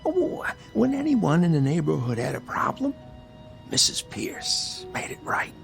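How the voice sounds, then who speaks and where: A man acting in a radio drama as a beaten-up, protective older brother, streetwise and wisecracking, talking in a wry, halting way. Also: a little high, wildly swooping pitch, gravelly, soft background music, exaggerated voice. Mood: reassuring, earnest.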